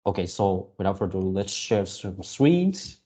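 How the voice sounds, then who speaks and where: A man in his early twenties talking casually to viewers as a channel host, in a halting way, often repeating and rephrasing himself. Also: low voice, sing-song, warm tone. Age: early 20s. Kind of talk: casual reaction video talk to viewers.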